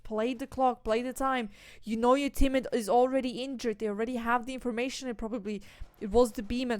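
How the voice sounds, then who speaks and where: Woman casting esports, higher voice. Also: no echo. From Austria. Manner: analytical, rising to excited bursts on kills. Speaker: esports caster. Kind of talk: solo play-by-play commentary.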